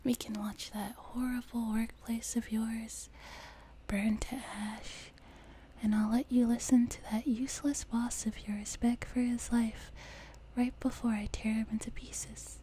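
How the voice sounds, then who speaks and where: A woman voicing a sweet, teasing, doting young demon girl in a soft, breathy whispered ASMR roleplay, close to a binaural mic. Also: a little high, little pitch movement, slight background noise, lightly acted. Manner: gentle, slow, teasing, intimate. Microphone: close binaural microphone.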